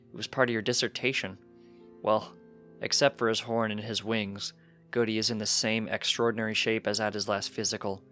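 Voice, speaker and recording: A man voicing a frank pony doctor in a story reading, measured, solemn and plain-spoken. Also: lowish voice, bright, forceful tone. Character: grave, frank pony doctor delivering bad news.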